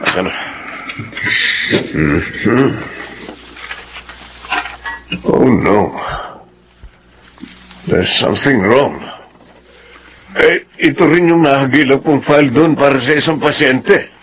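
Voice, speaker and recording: An actor playing a fussy, exasperated, muddled doctor in a Filipino radio drama. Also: male voice, medium pitch, animated, slow pace, pauses often, fairly bright tone, slight background noise, rough recording. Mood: flustered. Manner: fussy, mixes in English.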